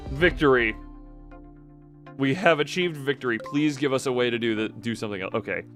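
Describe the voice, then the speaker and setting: A man giving casual live commentary on a game as he streams, thinking out loud and often breaking off mid-sentence. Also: medium pitch, a little fast, faint music behind.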